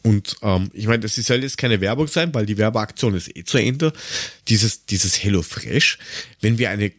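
A man's voice: fairly low pitch, moderate pace, dry recording, clean studio sound. A man co-hosting a casual podcast, talking in a colloquial, rambling, slightly grumbling way. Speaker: podcast co-host.

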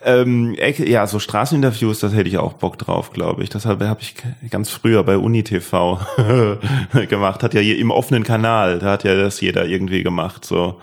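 Casual, halting man hosting a podcast. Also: on the low side, vocal fry. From Germany. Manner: hesitant, repeats himself while searching for words. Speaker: podcast host. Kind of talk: casual chat.

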